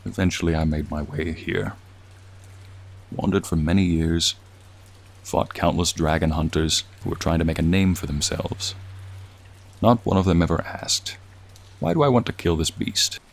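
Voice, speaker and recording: A man voicing a gentle, lonely, centuries-old dragon in a soothing ASMR roleplay, speaking in a reflective, gently formal way. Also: low voice, moderate pace, creaky, long pauses. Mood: wistful, lonely. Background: rain and raindrops.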